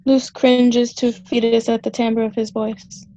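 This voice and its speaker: A woman narrates the stage directions of a play. Her voice is mellow and even in pitch, captured dry with a slightly muffled sound. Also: measured pace, no room sound.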